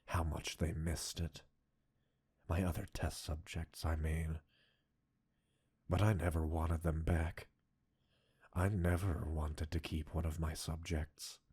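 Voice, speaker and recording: A man playing an obsessive, coolly possessive mad-scientist captor in an intimate roleplay audio, speaking with deliberate, teasing dominance and a breathy huskiness. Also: rumbling low, little pitch movement, a little mellow, heavily acted. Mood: coolly menacing. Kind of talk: intimate scripted roleplay addressed to listener.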